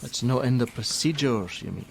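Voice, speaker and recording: A man acting a gruff, jaded helpline worker. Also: medium pitch, moderate pace, slight background noise, natural voice. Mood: defiant. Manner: blunt, sardonic, defensive. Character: jaded, gruff, hot-tempered male crisis-line worker and father. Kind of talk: naturalistic radio play acting.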